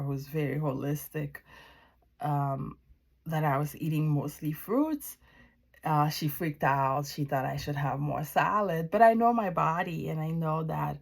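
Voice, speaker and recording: A woman from Haiti shares a personal testimony with viewers in a reflective, deliberate way, often pausing mid-sentence. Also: low-pitched, vocal fry. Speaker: wellness practitioner giving testimony.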